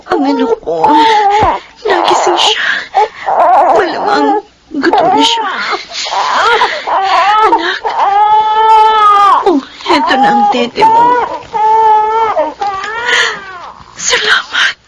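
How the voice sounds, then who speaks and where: A woman's voice: extremely high pitch, lively intonation, slow, pauses often, raised voice, very noisy, full character voice. A Filipino woman playing an anguished, devoted young single mother in a radio drama, speaking with tearful, pleading emotion. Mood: tearful relief.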